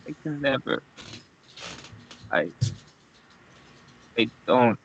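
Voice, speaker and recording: A man playing a wounded, despairing and broken man with halting, subdued delivery, in a dramatic table read. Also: mid-range voice, very animated, lo-fi sound, a little hiss or hum, heavily acted. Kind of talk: screenplay table-read acting.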